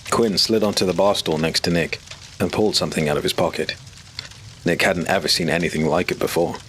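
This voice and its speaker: A man narrating a dark fantasy audio drama in a measured, storytelling way. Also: deep voice, steady tone, a little hiss or hum.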